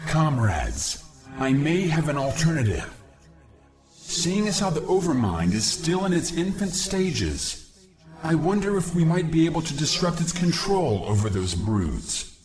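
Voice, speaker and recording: Game casting: eager young alien warrior, formal and earnest, mid-range voice with big swings, over music. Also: male voice, fairly high pitch, big pitch swings, a little slow. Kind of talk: video game voice acting.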